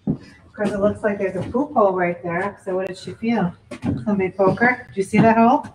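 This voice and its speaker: A woman on a live paranormal investigation in a dark theatre, losing her voice and making wry, blunt remarks. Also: medium pitch, fairly even intonation, a little fast, far from the mic, noisy background.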